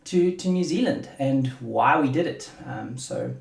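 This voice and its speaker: A man's voice: mid-range voice, lively intonation, unhurried, creaky. South African man in his thirties, hosting a solo podcast, loose rambling delivery with restarts, echoey room. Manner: rambling, repeats words while searching for them. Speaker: podcast host. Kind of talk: casual solo podcast talk.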